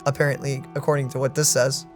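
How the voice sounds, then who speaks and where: A video game streamer giving casual, informal and expressive commentary as co-host. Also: mid-range voice, fast. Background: game music.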